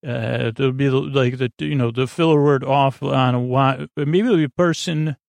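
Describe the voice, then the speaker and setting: Creaky-voiced, soothing, rambling man. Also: mid-range voice, fairly even intonation, normal speed, darkish tone, no echo. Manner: meandering, hesitant, full of tangents and filler words; soothing, lulling delivery. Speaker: podcast host. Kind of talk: rambling bedtime sleep podcast monologue.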